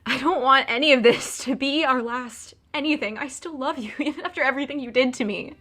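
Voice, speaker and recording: A woman's voice: sits high, fairly quick, bright, forceful tone, faint music behind. A young woman acting a tearful, pleading young woman who is heartbroken and still in love, in an audio drama. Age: young adult.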